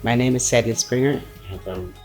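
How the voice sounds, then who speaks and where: An older man from Barbados, telling his story in a measured, matter-of-fact way. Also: mid-range voice, darkish tone, faint music behind. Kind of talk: interview recollection.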